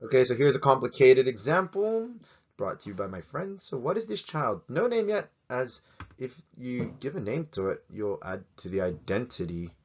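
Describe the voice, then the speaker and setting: A man's voice: mid-range voice, very animated, normal speed, muffled recording. A man teaching in a casual, chatty way, joking and asking many rhetorical questions. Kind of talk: casual educational talk to camera.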